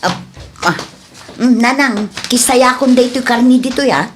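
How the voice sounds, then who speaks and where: Brazen Ilocano daughter, higher-pitched woman acting. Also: a little slow, a little hiss or hum, natural voice. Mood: wheedling. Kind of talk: Ilocano radio comedy drama acting.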